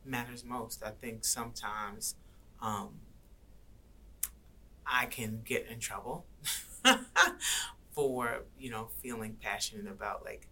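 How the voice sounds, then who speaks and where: Earnest woman guest, low gravelly voice with a bright edge, light noise behind. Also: slow, pauses often. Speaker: guest rapper and activist. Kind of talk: conversational interview.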